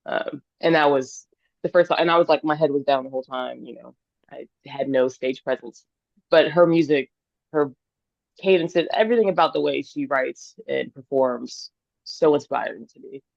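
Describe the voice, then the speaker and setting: A woman's voice: a bass voice, normal speed, gravelly, a little mellow, dry recording. A woman in her twenties, a singer-songwriter guest on a podcast, talking earnestly and enthusiastically, thinking aloud. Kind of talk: casual podcast interview. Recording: dull lo-fi dry sound.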